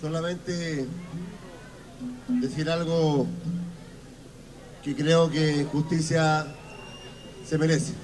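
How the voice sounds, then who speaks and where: A man gives a formal speech from a festival stage, each phrase slow and deliberate. His voice is fairly high and carries over the PA with a faint outdoor hum behind it. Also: steady tone. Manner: slow, measured, halting speech. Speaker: official speaking at a ceremony.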